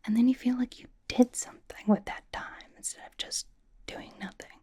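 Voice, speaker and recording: A very breathy, hushed whisper from a blunt young pop star played by a woman who is secretly a cozy homebody. The tone is soft and mellow, with a deadpan, casual, halting delivery. She dotes on the listener in an ASMR roleplay. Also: fairly high pitch. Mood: matter-of-fact, bossy.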